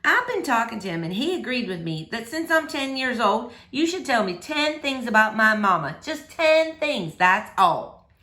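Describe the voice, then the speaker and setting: A woman's voice: a little high, very animated, moderate pace, bright, forceful tone. A woman voicing an earnest, wistful ten-year-old girl who confides plainly and eagerly in a storybook reading. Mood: pleading, eager.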